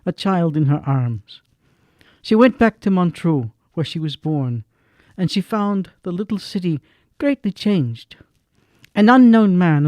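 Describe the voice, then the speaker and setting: A man narrating a radio drama in measured, expressive tones with dramatic pauses. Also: on the high side, dry recording. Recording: dry with faint music behind.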